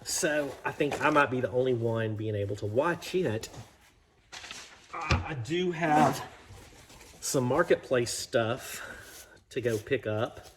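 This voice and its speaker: A man's voice: medium pitch, takes it slowly, pauses often, background noise. An American man rambling casually and informally in a folksy way, thinking aloud as he hosts a garage vlog. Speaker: vlog host. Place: home garage workshop.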